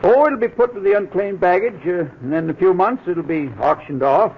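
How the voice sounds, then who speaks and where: A man's voice: high-pitched, fairly quick, slightly rough. An actor playing a plain-spoken working-class trucking clerk who hesitates and fumbles over his words in a 1940s radio drama. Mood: folksy. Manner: hesitant, full of uhs, ungrammatical. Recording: muffled old radio broadcast.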